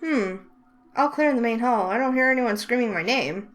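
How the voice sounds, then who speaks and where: A woman's voice: a little high, moderate pace, a bit bright. A woman making giggly, self-mocking asides while playing a game. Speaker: game streamer. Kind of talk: casual game commentary.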